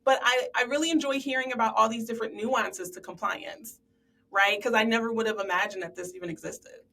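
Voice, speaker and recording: Podcast host: woman, upbeat and affirming, mid-high voice, recorded slightly distant from the mic. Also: fairly even intonation, fairly quick, fairly bright tone. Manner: enthusiastic, affirming interviewer. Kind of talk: casual interview chat.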